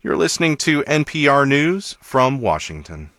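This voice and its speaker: A man's voice: mid-range voice, very animated, normal speed, a bit bright, dry recording, clean studio sound. A man reading a scripted radio newscast as an anchor. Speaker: news anchor.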